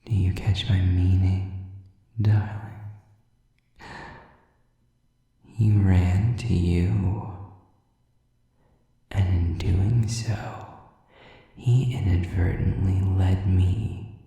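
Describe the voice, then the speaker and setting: A man voicing a sadistic, cold, mockingly possessive demon in an audio roleplay, with slow, deliberate, breathy, taunting whispers. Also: deep voice, steady tone, mellow, very echoey, recorded from a distance, full character voice. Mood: taunting threat. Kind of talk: intimate audio roleplay acting.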